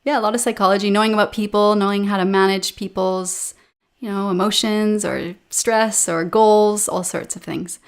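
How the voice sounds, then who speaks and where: A woman in her thirties with a Canadian accent, a guest chatting casually in a relaxed way with fillers, over a video call. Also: medium pitch, smooth. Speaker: podcast guest, English teacher. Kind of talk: casual interview chat. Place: home room with a painting behind her.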